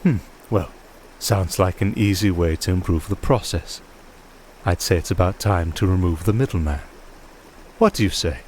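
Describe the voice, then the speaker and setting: A man playing a commanding, composed vampire archduke in a fantasy audio roleplay, speaking in a formal, measured, dryly teasing way. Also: low-pitched, darkish tone, a little hiss or hum, close to an everyday voice. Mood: calculating, composed.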